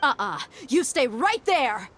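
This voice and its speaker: A woman's voice: sits high, very animated, unhurried, a bit bright, a little hiss or hum, heavily acted. An actress playing a tough young mercenary woman in a video game, cool, sardonic and casual. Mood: firm, challenging.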